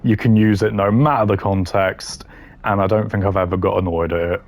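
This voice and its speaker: A man's voice: on the low side, fairly even intonation, brisk. A man giving casual, opinionated gaming commentary in a blunt, slangy way, ranking voice lines. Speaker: gamer ranking game voice lines.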